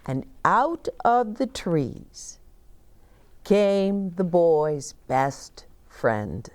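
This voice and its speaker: An older woman reading a children's storybook aloud expressively, with deliberate, dramatic phrasing and pauses. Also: fairly low pitch, sing-song, a little mellow. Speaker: children's story reader and host. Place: small decorated set, indoors, seated.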